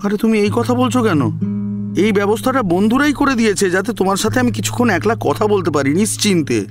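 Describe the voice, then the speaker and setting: A man's voice: sits high, expressive, fairly quick, faint music behind. A man playing an eager, lovestruck young college student, coaxing and flustered, in an audio story. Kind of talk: melodramatic audio story acting.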